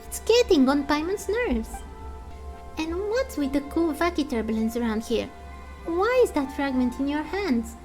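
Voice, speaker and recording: A woman acts out a little fairy game companion, excitable and naive. Her voice is very high and jumps higher as she exclaims and stammers. Soft music plays faintly behind her. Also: measured pace. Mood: flustered.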